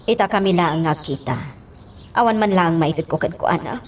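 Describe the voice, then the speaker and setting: A woman's voice: on the low side, moderate pace, close to an everyday voice. A woman acting an emotional female character in a radio drama. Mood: pleading. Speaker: actor. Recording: very noisy radio drama recording.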